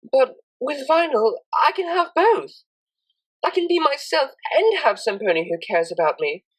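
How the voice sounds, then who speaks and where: Refined young pony mare, played by a woman, storybook cellist, polite, earnest, proper diction, very expressive pitch. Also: measured pace, poor audio. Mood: earnest, joyful. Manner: formal, precise, proper wording.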